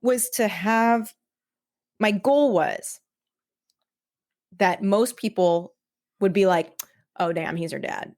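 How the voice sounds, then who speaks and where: Woman, medium pitch, podcast guest, casual conversation, animated explainer, informal phrasing. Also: slow, pauses often. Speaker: guest, audio drama creator.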